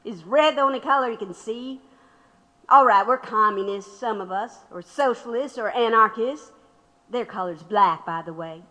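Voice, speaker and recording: Woman radical under questioning, highish forceful voice, wry, defiant, echoing room, audio drama. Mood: sardonic. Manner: sardonic, firm, deliberate. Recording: long echoing room reverb.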